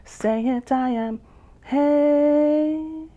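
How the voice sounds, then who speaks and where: A woman poet delivering spoken-word verse very slowly, stretching phrases and humming between lines. Her voice sits high. The recording sounds dull and lo-fi. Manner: slow, drawn-out delivery with long pauses and hums. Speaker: poet and vocalist guest. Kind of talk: slow spoken-word poetry with sung lines.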